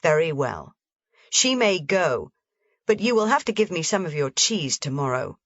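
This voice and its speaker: A woman's voice: sits low, very animated, moderate pace, no echo, lo-fi sound, full character voice. A woman doing a blunt young goatherd boy. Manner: blunt, matter-of-fact.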